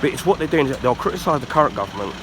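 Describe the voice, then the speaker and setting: A man from the UK with a husky, congested voice from the flu, giving a casual rambling rant with many ums and throat clearing. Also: mid-range voice, expressive, racing, background noise. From United Kingdom. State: husky, recovering from flu, blocked nose. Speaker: YouTuber.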